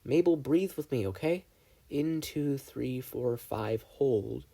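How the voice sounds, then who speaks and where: A protective twin brother of about twelve, played by a man reading a story. He sounds earnest and worried, coaxing gently in a raised, slightly husky voice. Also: mid-range voice, expressive, takes it slowly, voice pushed up, exaggerated voice. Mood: worried, soothing.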